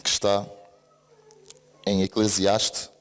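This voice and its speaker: Deep-voiced preacher in a reverberant church. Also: male voice, monotone, slow. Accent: Brazilian Portuguese mixed with European Portuguese. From Brazil. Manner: earnest, repeatedly asks the congregation for an "amen". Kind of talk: church sermon. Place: church service hall. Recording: long reverb of a church hall.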